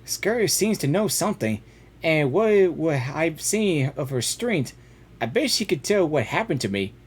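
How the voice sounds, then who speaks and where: A woman game streamer giving casual, excitable live reactions and reading dialogue aloud, often repeating herself. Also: sits low, unhurried, husky.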